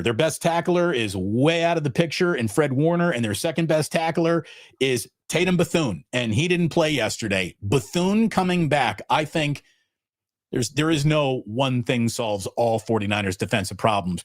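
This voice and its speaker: A man's voice: medium pitch, no echo, studio quality. Emphatic man hosting a sports talk show. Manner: emphatic, repeats phrases for emphasis.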